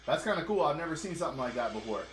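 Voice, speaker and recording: A man's voice: on the high side, big pitch swings, rapid-fire. A man hosting a casual livestream, chatting like a buddy and answering money questions. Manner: easygoing, talks like a buddy. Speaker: livestream host, financial advisor. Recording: echoey room.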